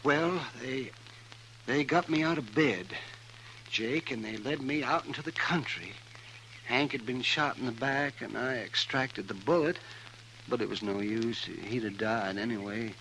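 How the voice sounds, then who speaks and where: An actor playing an anxious, kindly older country doctor of the American West, hesitant and fussy, in 1950s radio western style. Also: male voice, mid-range voice, a little slow, pushed and bright. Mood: reluctant, uneasy. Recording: noisy vintage radio broadcast.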